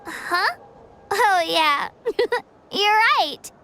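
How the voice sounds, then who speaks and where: A woman's voice: very high-pitched, expressive, drawn-out words, pushed and bright, a little hiss or hum, heavily acted. A woman voicing an earnest, friendly young girl adventurer in an anime game, admiring and encouraging. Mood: warm, admiring.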